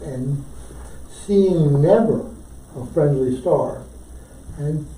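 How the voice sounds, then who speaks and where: A man reciting a poem at a live reading, deliberately, in short separated phrases. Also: a little high, warm tone. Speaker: poetry reader. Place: indoor library poetry reading. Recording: echoey room, distant from mic.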